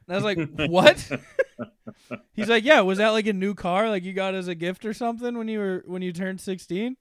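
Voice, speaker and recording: Male co-host, twenties, high voice, dry close mic, lively anecdote teller. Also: normal speed, long pauses, clear, resonant tone, dry recording. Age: 20s. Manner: animated storyteller, acts out quotes, laughs while telling. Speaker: podcast co-host. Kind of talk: casual chat.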